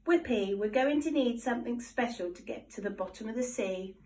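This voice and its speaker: A woman voicing an eager, inventive young boy who talks in excited exclamations in a storybook reading. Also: on the high side, brisk, natural voice.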